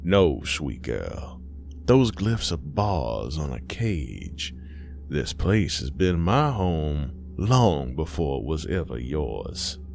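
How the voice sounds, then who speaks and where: A man voicing a sly, ancient female demon in a horror story, taunting and theatrical with drawn-out phrasing. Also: extremely low pitch, very animated, vocal fry, darkish tone, soft background music, pitched below the performer's own voice, full character voice. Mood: menacing.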